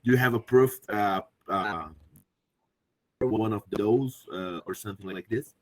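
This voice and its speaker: A man from Brazil with a Brazilian Portuguese accent, asking a question on a video call in halting English, hesitant and apologetic. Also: lowish voice. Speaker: audience member asking a question.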